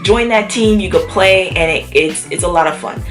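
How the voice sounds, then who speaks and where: Woman vlogger, a touch low-pitched, chatty, sick with a cough, faint music behind. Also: moderate pace. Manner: chatty, many fillers. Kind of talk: casual chat to camera.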